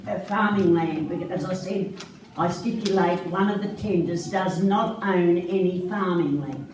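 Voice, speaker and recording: Woman projecting from the gallery, lowish voice, very echoey and far off, noisy. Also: normal speed, darkish tone. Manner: insistent, projecting without a mic. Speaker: member of the public gallery. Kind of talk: speaking up from the gallery. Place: council chamber gallery. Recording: very echoey, far from the mic, audible hiss.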